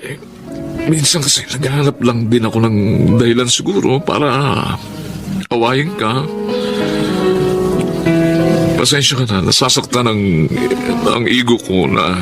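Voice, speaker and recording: A man's voice: medium pitch, natural voice. A man with a Filipino accent playing a frustrated, insecure househusband in a radio drama, earnest and hesitant. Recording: loud music under the voice.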